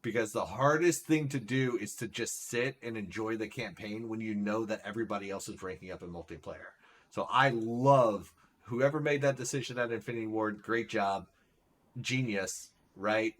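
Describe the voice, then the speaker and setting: A man's voice: on the low side, normal speed. Laid-back man hosting a live stream. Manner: relaxed, says "like" and "right?" often. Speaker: streamer host. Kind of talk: casual live-stream chat.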